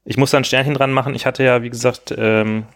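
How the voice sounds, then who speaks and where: A man co-hosting a laid-back podcast, chatting and joking in an easygoing way. His pitch sits in the middle, and he sounds a little worn down. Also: steady tone, quick pace, a bit bright. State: feeling run-down. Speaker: podcast co-host. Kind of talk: casual chat.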